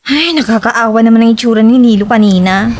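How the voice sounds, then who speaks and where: A woman's voice: a little high, fast, loud music behind the voice, natural voice. A woman with a Filipino accent acting a sympathetic, questioning young roommate in an audio drama.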